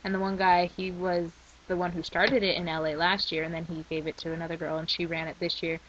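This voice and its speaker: A young woman rambling in a casual video diary, her voice worn from a week of shouting. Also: on the low side, level tone, fast, a little hiss or hum. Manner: chatty and rambling. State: losing her voice. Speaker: vlogger. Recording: slightly dull lo-fi recording.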